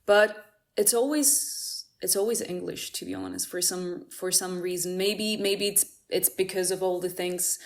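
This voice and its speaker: Woman from Cyprus, low-pitched voice, laughs a lot, halting restarts. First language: Greek. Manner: laughs often, many restarts and fillers. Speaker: musician guest. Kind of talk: casual interview chat.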